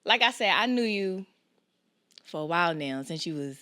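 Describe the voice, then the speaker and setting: A woman's voice: lowish voice, normal speed, smooth, dry recording, clean studio sound. A woman in her twenties hosting a podcast, chatting casually with slang and frequent laughter. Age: 20s. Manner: animated, laughs a lot, slangy. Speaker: podcast host. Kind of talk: casual chat.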